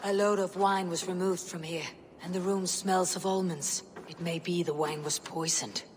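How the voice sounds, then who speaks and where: A woman playing a stern, determined Viking warrior in a video game, blunt and commanding in a measured delivery. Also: on the low side, fairly even intonation, slight background noise, close to an everyday voice. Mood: resolute. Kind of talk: dramatic video game cutscene acting.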